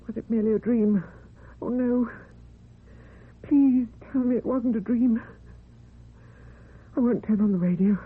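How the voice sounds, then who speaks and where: A woman's voice: medium pitch, breathy edge. An actress playing a timid, lonely young woman, hesitant, dreamy and earnest, in old-time radio drama style. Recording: dull lo-fi old radio recording, hiss.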